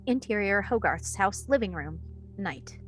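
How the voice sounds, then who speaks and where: Woman narrator reading out script directions, medium pitch, faint music behind. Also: expressive, a little slow.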